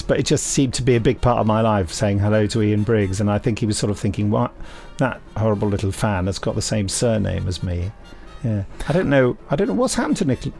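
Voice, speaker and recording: A man in his fifties chatting as a podcast co-host, joking at his own expense and laughing easily. His pitch swings around with a lively, animated lilt. Faint music sits behind him. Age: 50s. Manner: playful, self-mocking, laughs often. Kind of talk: casual chat.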